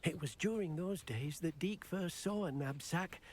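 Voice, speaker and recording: A man's voice: fairly high pitch, unhurried, darkish tone, a little hiss or hum, close to an everyday voice. An actor playing a small, earnest, polite male house-elf helper who speaks of himself in the third person in a video game. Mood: sad, reflective. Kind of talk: video game character dialogue.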